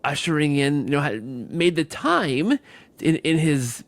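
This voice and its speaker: A man with a slightly high voice, recorded dry and close, hosts a podcast conversation. He is earnest and roundabout, doubling back and repeating phrases as he builds toward his point. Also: lively intonation, measured pace, no room sound. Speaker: podcast host. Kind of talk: conversational interview.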